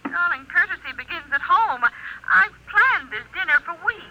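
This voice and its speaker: A fond but exasperated wife on the phone in a vintage radio play. Her voice is high and swings widely in pitch, over clear noise. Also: expressive, normal speed. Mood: pleading, annoyed. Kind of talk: 1950s radio drama acting. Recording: noisy old radio recording, telephone call.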